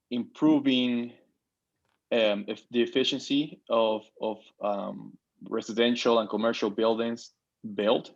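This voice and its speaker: A man in his thirties, from Peru, speaks in a fairly low voice over a video call. He introduces himself with many pauses, fillers and restarts. The call audio is slightly dull and lo-fi. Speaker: board member. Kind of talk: virtual meeting remarks.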